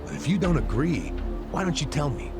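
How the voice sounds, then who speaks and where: An actor playing a sly, cynical middle-aged ex-detective in a video game cutscene dub, with a teasing, wry manner. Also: male voice, fairly high pitch, very animated, normal speed. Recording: game cutscene with loud music.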